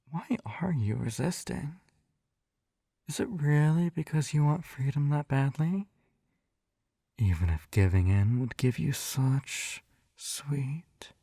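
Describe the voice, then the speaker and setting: Man acting as yandere hypnotist captor, male, unhurried, close dry sound, hushed, coaxing, smugly tender, teasing, whispery ASMR roleplay. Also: mid-range voice, vocal fry, slightly rough, dry recording, exaggerated voice. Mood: coaxing, sweet.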